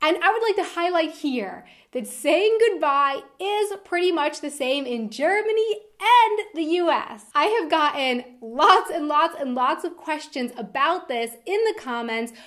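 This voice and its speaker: Animated high-pitched young American woman. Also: measured pace, few pauses. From United States. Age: young adult. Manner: animated, expressive, emphatic. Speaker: video host. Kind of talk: scripted vlog presentation to camera. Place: indoor room with decorated wall backdrop.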